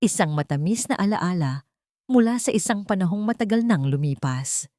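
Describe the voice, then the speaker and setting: A woman with a Filipino accent reading a scripted first-person story as an audiobook narrator, measured and dramatic. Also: medium pitch, lively intonation, a little mellow, no echo, clean studio sound.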